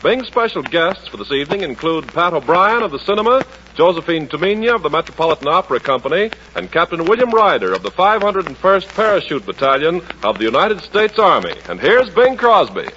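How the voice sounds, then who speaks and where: A male announcer opens a 1940s radio variety show in a high, bright voice. He projects each phrase emphatically and showily, through a slightly dull vintage broadcast recording. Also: big pitch swings, brisk, slight background noise.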